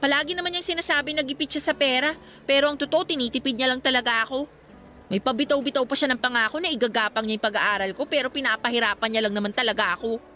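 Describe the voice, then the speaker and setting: Girlish filipina student, 18, kinda sulky n shy, high bright voice, radio drama. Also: fairly even intonation, quick pace, soft background music. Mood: sulky, complaining. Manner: girlish, complaining, conversational. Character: sulky, shy eighteen-year-old Filipina student girl, Karina.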